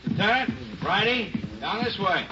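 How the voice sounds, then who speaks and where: A man's voice: high voice, animated, normal speed, heavy background noise. A man playing a laconic, matter-of-fact policeman giving clipped reports in a radio drama. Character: laconic male policeman reporting at a crime scene. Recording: dull old-time radio recording.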